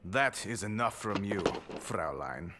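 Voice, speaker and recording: Menacing slow crime boss. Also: male voice, lowish voice, expressive, heavy background noise. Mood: offended. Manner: slow, forceful, threatening delivery. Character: menacing Russian gambler crime boss, proud and easily insulted. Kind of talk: audio spy game acting.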